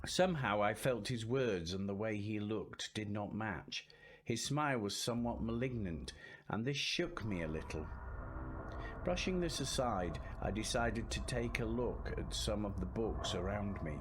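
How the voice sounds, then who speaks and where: An actor playing an anxious young gentleman traveller in a radio drama, narrating in an earnest, literary, measured way. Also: on the low side, animated. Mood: uneasy. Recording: noisy recording with ambient sound.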